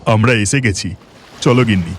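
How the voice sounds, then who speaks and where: A man's voice: fairly low pitch, normal speed, slight background noise, close to an everyday voice. An actor playing a kind, devout village husband and boatman in a cartoon, speaking in an earnest, plain-spoken way.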